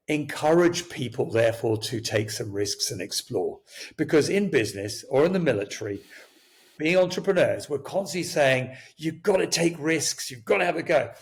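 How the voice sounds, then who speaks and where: Older man, grew up in Kenya, Swahili first language; chatty anecdotal guest, mid pitch, lots of restarts and chuckles. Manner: animated, chuckling, many restarts. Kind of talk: storytelling interview talk.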